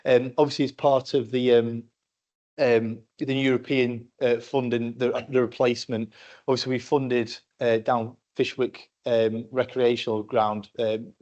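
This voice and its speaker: A man's voice: mid-range voice, flat delivery, a little slow, darkish tone, muffled recording. A man speaking as a councillor at a council meeting, answering a question with many fillers and restarts. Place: council chamber.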